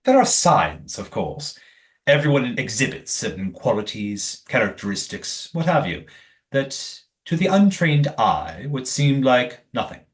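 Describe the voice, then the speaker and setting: A man playing a calm, secretive leader of a commune in an audio play, speaking in a formal, measured, evasive way. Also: mid-range voice. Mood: cryptic, assured.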